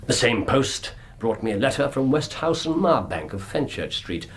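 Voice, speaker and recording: An actor playing a brilliant Victorian English detective in a radio drama, explaining things with theatrical precision. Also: male voice, mid-range voice, big pitch swings, normal speed, a bit bright. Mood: precise, satisfied.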